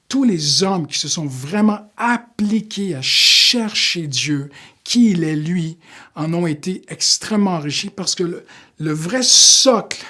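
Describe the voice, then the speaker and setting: Canadian male preacher, fairly high voice, earnest and halting, slows down for emphasis. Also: sharp tone. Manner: earnest, with many fillers, slowing down for emphasis. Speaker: pastor. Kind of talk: short devotional sermon.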